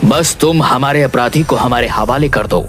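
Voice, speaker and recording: A man voicing a stern, grandiose snake king in an animated fairy tale, speaking with formal command. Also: mid-range voice, normal speed, natural voice. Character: stern regal snake king demanding justice. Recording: music behind the voice.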